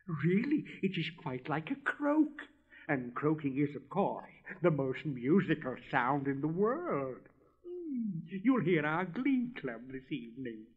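A man's voice: on the high side, big pitch swings, takes it slowly, breathy edge, voice pushed up, full character voice. A man doing a gushing smug little frog. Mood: smug, chatty. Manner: gushing, self-satisfied chatter with giggles. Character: chatty complacent little male frog. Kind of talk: storybook reading. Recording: dull lo-fi recording.

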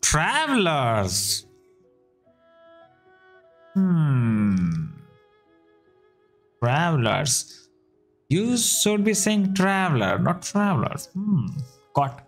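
A man's voice: a little high, big pitch swings, very slow, long pauses. A man from India with an Indian accent, giving casual, slangy live reactions as a game streamer, mixing Hindi and English. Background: game music.

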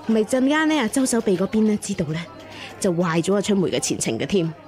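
A woman's voice: mid-range voice, big pitch swings, fast, darkish tone, soft background music, some chatter behind, natural voice. A woman acting in a radio drama as a wily, money-minded old matchmaker, chatty and wheedling.

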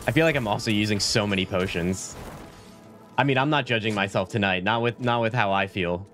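A man in his thirties streaming a game from a small home room on a desk mic, chatting casually and rambling, his voice a little deeper from being sick. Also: a little fast, fairly bright tone. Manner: laid-back, rambling, says "like" and "dude" a lot. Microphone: studio mic at desk, webcam framing. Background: game music.

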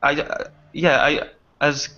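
A man's voice: on the high side, flat delivery, slow pace, bright, forceful tone. A young man, a performer and writer, talking hesitantly with many fillers and restarts in a casual panel discussion over a video call from a small dim room. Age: young adult. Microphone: laptop webcam video call. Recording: muffled video call audio.

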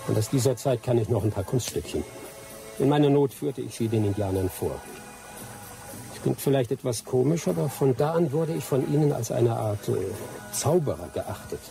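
A man's voice: mid-range voice, lively intonation, normal speed, vocal fry, warm tone, faint music behind. An actor playing a seasoned, teasing male jungle adventurer with dry humour in a German radio drama. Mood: wry storytelling.

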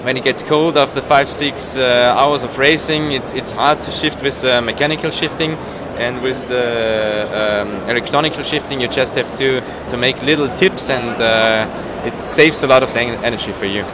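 A man's voice: medium pitch, moderate pace. A man of about thirty, not a native English speaker, giving a casual interview as a professional cyclist, searching for his words. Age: around 30. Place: indoor trade show booth. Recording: noisy trade show hall, background ambience.

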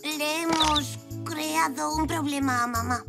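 Cartoon zebra kid, helpful and eager, very high voice, unhurried delivery. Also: fairly even intonation, vocal fry, over loud music, heavily acted. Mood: worried. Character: young child zebra, eager, earnest, helpful. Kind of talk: children's cartoon dubbing.

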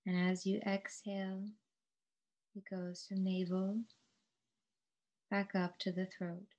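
Slow, even-toned woman guiding meditation. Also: medium pitch, highs cut off. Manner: calm, soothing, measured instruction with long pauses. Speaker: yoga teacher. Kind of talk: guided relaxation meditation.

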